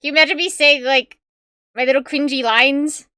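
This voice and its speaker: A woman's voice: sits high, steady tone, normal speed, pushed and bright, no room sound, studio quality. A woman streamer chatting casually with viewers in a tiny, cute-sounding voice, giggling often and rambling with fillers. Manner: giggly, laughs often between remarks; rambling, with fillers and self-corrections. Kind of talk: casual livestream chat with viewers.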